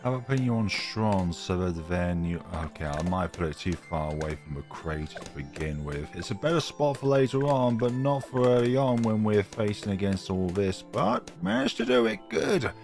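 Deep-voiced gaming host with a cold, croaky, chatty self-mocking commentary over faint music. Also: male voice, moderate pace, few pauses, darkish tone. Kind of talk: casual gameplay commentary.

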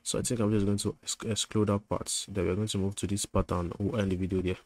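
A man's voice: lowish voice, level tone, fast talker. A man giving a screen-recorded coding tutorial, thinking aloud as he types, with fillers and self-corrections.

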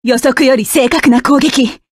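A woman's voice: sits high, measured pace, slightly rough, no echo, studio quality. A woman voicing a proud, fierce young woman mech pilot who barks curt, commanding and scornful lines. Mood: tense, wary. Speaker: voice actress. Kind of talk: game voice clip collection.

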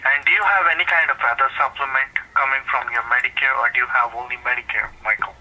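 Bright-voiced man on a phone call. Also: medium pitch, fairly even intonation, quick pace, breathy edge. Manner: repeats the other person's words back; broken, ungrammatical phrasing. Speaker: cold caller about health insurance.

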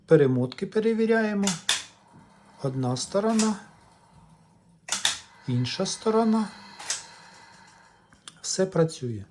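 A man's voice: high voice, lively intonation, takes it slowly, long pauses, noisy background. A man giving a casual, step-by-step repair demonstration, explaining in a hesitant way. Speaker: electronics repair vlogger.

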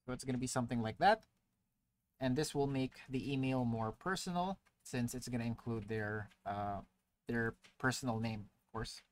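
A man giving a casual, step-by-step software tutorial as a voice-over to a screen recording, explaining with frequent filler words. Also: mid-range voice, normal speed. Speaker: tutorial presenter.

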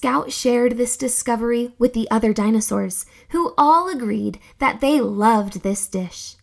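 A young woman reading a children's story aloud in a theatrical, expressive storytelling manner. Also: fairly high pitch, a little slow, vocal fry. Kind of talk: expressive scripted read-aloud narration for children.

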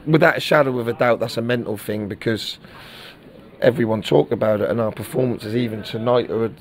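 A man's voice: mid-range voice, fairly quick. A middle-aged man, a football manager giving a frank post-match interview and often repeating words as he searches for them.

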